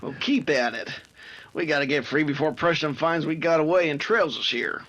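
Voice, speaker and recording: A man's voice: a little high, normal speed, fairly bright tone. A man playing a scheming, anxious outlaw henchman with folksy Western crook talk in an old-time radio drama. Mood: threatening. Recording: muffled, noisy home-recorded track.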